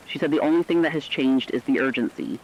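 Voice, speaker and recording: A man reading a scripted human-interest news report in a plain, measured storytelling manner. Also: fairly high pitch, muffled recording, a little hiss or hum. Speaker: radio feature reporter.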